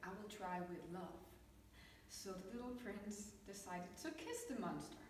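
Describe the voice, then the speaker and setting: A woman's voice: mid-range voice, expressive, a little slow. A woman improvising a fairytale as a storyteller. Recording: very echoey room from far off, faint noise.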